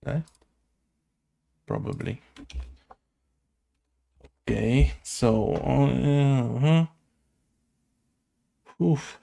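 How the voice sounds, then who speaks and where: Very slow, creaky-voiced man muttering while coding. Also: medium pitch, warm tone. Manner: hesitant, muttering to himself in short fragments. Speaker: game development tutorial host. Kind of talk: casual think-aloud coding screencast.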